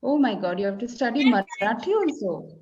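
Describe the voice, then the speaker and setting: A woman from Kerala with an Indian English accent, whose first language is Malayalam, chatting and tutoring slowly and encouragingly on a video call. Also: on the high side, expressive, darkish tone, muffled recording. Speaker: English conversation teacher. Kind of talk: casual tutoring chat.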